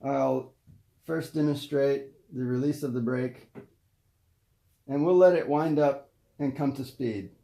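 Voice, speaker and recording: A man's voice: mid-range voice, takes it slowly, vocal fry, long pauses, warm tone. A man giving a careful, step-by-step hands-on demonstration, explaining as he goes in an unscripted way. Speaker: presenter demonstrating an antique gramophone. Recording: roomy echo, faint noise and clicks.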